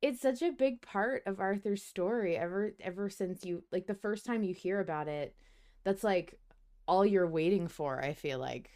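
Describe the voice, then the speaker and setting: A woman's voice: medium pitch, normal speed, mellow. A woman hosting a podcast, talking in a casual, conversational and emphatic way. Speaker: podcast host.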